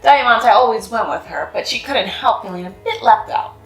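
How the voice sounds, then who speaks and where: A woman reading a children's picture book aloud in an expressive, sing-song way. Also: on the high side, moderate pace. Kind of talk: storybook read-aloud. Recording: echoey room with faint music.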